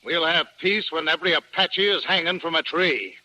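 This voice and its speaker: Radio Western heavy: combative American townsman, high, piercingly bright delivery, sarcastic and distrustful. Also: normal speed, muffled recording. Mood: bitter. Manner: taunting, sarcastic. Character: sneering, hard-bitten frontier townsman, distrustful and combative. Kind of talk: 1950s radio drama acting.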